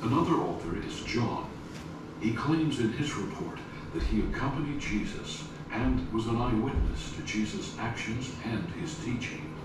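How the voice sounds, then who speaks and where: A man narrating a documentary in a measured, scripted way, posing rhetorical questions. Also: medium pitch. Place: documentary audio played in a garage gym. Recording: echoey, distant playback with loud noise.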